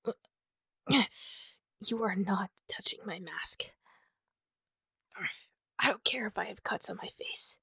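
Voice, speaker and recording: A woman playing a wounded, guarded and prickly masked superheroine in an ASMR roleplay, half-whispering in a breathy, husky voice with halting, pained sighs. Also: medium pitch, a bit bright, no echo, exaggerated voice. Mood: defensive. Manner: halting, sighing, pained delivery.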